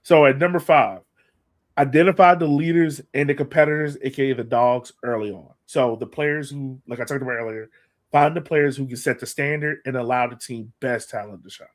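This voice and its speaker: A man's voice: medium pitch, fairly even intonation, brisk. Man chatting casually as a podcast host. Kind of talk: casual fan commentary and chat.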